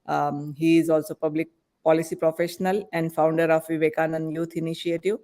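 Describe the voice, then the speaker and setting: A woman hosting an online panel discussion, introducing guests with hesitant, halting phrasing. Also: deep voice, flat delivery, warm tone. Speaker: podcast host introducing guests.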